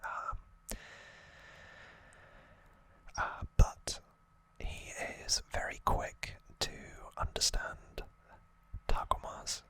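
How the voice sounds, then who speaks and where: An ASMR host whispering a rambling recap in a breathy, halting voice, correcting slips along the way, close to the microphone. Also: fairly bright tone. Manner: hesitant, halting phrasing with self-corrections.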